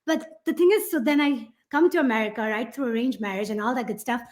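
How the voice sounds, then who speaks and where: A middle-aged woman with a Pakistani accent, a guest on a podcast, telling her story in a rambling, animated way with many fillers. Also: on the high side, quick pace. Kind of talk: podcast interview.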